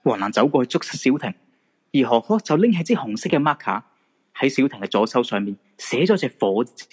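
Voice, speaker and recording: A man's voice: medium pitch, sing-song, brisk, darkish tone, breathy edge. A man with a Hong Kong Cantonese accent narrating a scripted supernatural radio drama.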